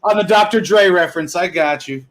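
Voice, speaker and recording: A man's voice: high voice, fairly quick, crowd noise. A man bantering casually as a panelist on a sports podcast, with a joking style.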